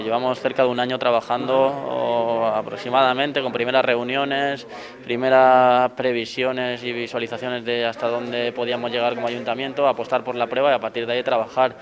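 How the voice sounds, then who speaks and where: A man with an accent from Spain giving an unscripted press interview as a local official, in halting phrases. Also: medium pitch, level tone, a crowd in the background. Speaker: town council representative.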